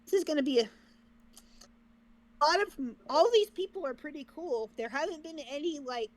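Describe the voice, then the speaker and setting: A woman's voice: high-pitched, animated, normal speed. A woman co-hosting a reaction livestream, chatting casually with the viewers. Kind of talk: casual chat with stream viewers.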